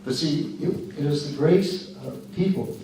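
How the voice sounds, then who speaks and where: A man's voice: fairly high pitch, mellow. Man, scratchy voice, folksy and earnest, lots of restarts, heard from a distance, very echoey church hall. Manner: folksy, earnest, halting with many fillers and restarts. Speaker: guest speaker. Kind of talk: church talk with audience questions. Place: church sanctuary.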